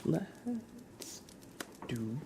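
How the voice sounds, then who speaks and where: A man hosting an ASMR livestream, chatting softly and casually in a rambling way, often slipping into a whisper. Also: a little high, sing-song, extremely slow, creaky, noisy background. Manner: casual, rambling, hesitant with frequent "like". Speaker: livestream host. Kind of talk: soft-spoken ASMR livestream, casual chat.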